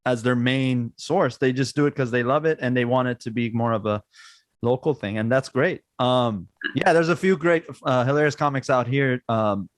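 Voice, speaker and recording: A man's voice: medium pitch, normal speed, no echo. A man in his forties with a Persian accent, who grew up in Iran, hosting a casual podcast chat full of fillers and repeated yeahs. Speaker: podcast host.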